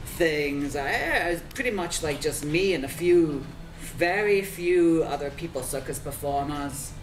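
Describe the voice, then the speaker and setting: A man's voice: fairly high pitch, animated, measured pace. A man from Scotland, a fire performer, talking casually and animatedly with lots of fillers in an interview. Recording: echoey room from far off with crowd noise.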